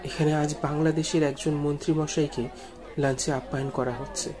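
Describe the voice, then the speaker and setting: A man narrates a first-person story in a measured, suspenseful and expressive way. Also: fairly high pitch, crowd noise. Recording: music behind the voice. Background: music.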